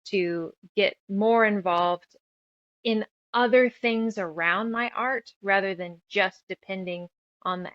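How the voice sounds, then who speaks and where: A woman's voice: medium pitch, a bit bright, no echo, lo-fi sound. A woman from the United States speaking as a creative guest in a casual interview, talkative and reflective as she rambles through her thoughts. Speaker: guest, author, musician and audio drama creator.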